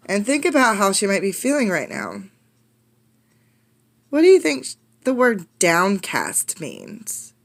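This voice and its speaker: Expressive woman reading aloud, very animated intonation. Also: mid-range voice, a little slow, creaky. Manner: expressive, instructive. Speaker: teacher reading aloud to children. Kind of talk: interactive storybook read-aloud with questions.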